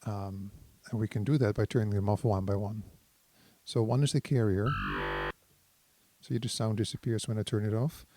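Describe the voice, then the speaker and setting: A man giving a casual hands-on tutorial as a synthesizer demonstrator, thinking aloud in an unhurried, explanatory way. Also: lowish voice, steady tone, background noise.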